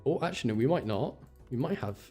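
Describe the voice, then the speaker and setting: A man's voice: mid-range voice, animated, fast talker, dark tone, breathy edge, faint music behind. A man giving casual, chatty live game commentary, thinking aloud and correcting himself with mild exasperation. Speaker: game streamer.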